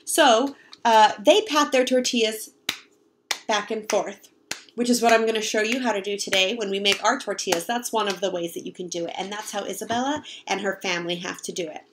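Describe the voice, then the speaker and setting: A young woman reading a picture book aloud to children in an expressive, explanatory way. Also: mid-range voice, fairly quick, background noise. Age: young adult. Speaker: read-aloud storyteller, teacher. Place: home kitchen, indoors.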